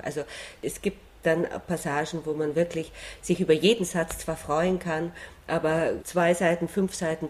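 A woman's voice: low voice, a little mellow, a little hiss or hum. A woman with an Austrian accent talking casually and emphatically in an interview, repeating phrases for effect.

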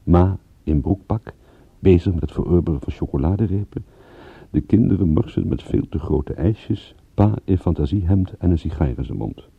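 An actor playing a wry, world-weary private detective in a radio drama, sardonic, with a gravelly, husky voice. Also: male voice, deep voice, moderate pace, no echo, heavily acted. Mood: wry, reflective. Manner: sardonic, dry-witted. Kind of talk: radio drama narration and dialogue. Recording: muffled, phone-like old radio recording.

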